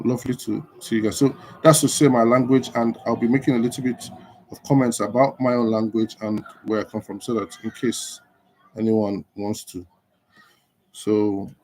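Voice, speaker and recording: A Nigerian man whose first language is Igbo, hosting a casual live stream and chatting with viewers, unhurried with many fillers. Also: on the low side, mellow, poor audio.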